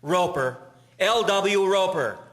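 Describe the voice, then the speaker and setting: A man's voice: high-pitched, lively intonation, slow, fairly bright tone, exaggerated voice. An actor playing an urgent, kindly man coming to the rescue in an audio drama, speaking with reassurance. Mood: relieved, earnest. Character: urgent, kindly male passer-by rescuing a boy. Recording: echoey reverb with clear hiss.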